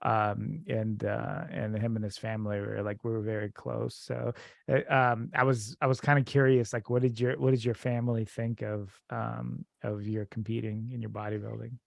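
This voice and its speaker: Guy hosting a podcast, gravelly voice, kinda rambly, dry close sound. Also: lowish voice, flat delivery, moderate pace, no echo. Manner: rambling, many fillers and restarts. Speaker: podcast host. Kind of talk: casual interview chat.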